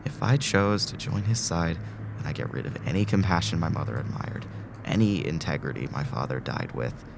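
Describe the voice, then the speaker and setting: A man plays an anguished, principled hero of an audio drama. His voice sits low, weary and earnest, heavy with emotion. Some noise runs behind the recording. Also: normal speed, gravelly. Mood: quiet despair. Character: anguished, principled man trapped in time loop.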